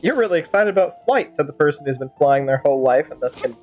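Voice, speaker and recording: A woman's voice: low-pitched, expressive, quick pace, darkish tone, soft background music. A woman streaming a game with casual, rambling, playful commentary and sudden exclamations. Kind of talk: casual game commentary with in-character fan-dub banter.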